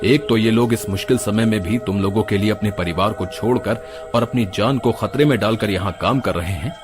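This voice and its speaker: A man playing the stern, righteous, caring ghost of a dead doctor in an animated moral story, speaking in an earnest, scolding way. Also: fairly low pitch, a little fast, lightly acted. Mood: reproachful. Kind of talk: animated Hindi moral horror story acting. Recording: music behind the voice.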